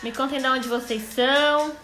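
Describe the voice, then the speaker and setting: A woman's voice: high voice, steady tone, moderate pace, pushed and bright, roomy sound, faint music behind. A woman with a Brazilian accent hosting a casual live chat, chatty and welcoming to those joining. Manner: chatty, welcoming viewers by name. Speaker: live stream host, clinical psychologist.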